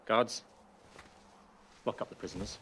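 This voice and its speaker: An actor playing a stern Roman military commander, giving orders in a terse, deliberate, commanding way. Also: male voice, a bit bright, a little hiss or hum, lightly acted.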